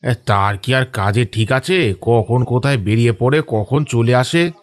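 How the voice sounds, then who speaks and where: A man's voice: mid-range voice, a little slow, close to an everyday voice. A man playing a chatty household servant in an audio drama, talking in a colloquial, complaining way. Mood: grumbling, gossipy. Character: chatty, grumbling male household servant, familiar and folksy. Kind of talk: Bengali audio drama acting.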